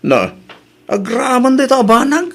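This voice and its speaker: A man's voice: very high-pitched, sing-song, normal speed, full character voice. A man playing an easygoing young construction worker in a comedy audio drama, speaking conversationally with a Filipino accent. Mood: puzzled.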